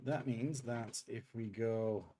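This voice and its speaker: A middle-aged man with a tired throat, streaming live game development and talking through his code in halting, unhurried steps. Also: mid-range voice, steady tone, warm tone, a little hiss or hum. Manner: halting, thinks aloud with many pauses and um's. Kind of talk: live coding stream, thinking aloud.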